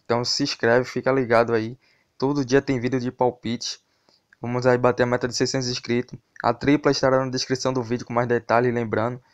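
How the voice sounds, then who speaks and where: A Brazilian man speaks in a mid-range voice with very little melody, keeping an even line as he goes. He sounds like an informal online host giving sports tips, addressing his viewers directly and casually. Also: mid-range voice, a little fast, clean tone, dull audio. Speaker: host of a football betting tips channel. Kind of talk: casual sports tips commentary over a screen recording.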